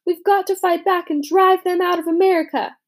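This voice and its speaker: A woman voicing an eager, intense young male inventor in an audiobook, earnest and quick to rally. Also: high-pitched, animated, voice pushed up. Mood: fervent. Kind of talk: audiobook character reading.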